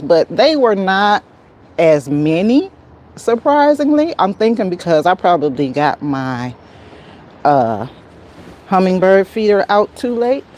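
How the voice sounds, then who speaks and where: A woman's voice: on the low side, darkish tone. An American woman chatting casually on a garden livestream, folksy, often muttering to herself and her plants, recorded on a phone outdoors. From United States. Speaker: garden vlogger, livestream host. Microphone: handheld phone.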